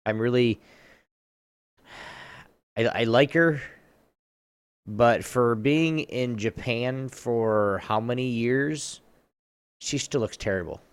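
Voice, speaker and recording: A man's voice: medium pitch, slow pace, long pauses, clean tone, no echo. Male podcast co-host, casual and slangy, blunt rambler, very dry recording. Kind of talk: casual chat. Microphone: online voice chat mic.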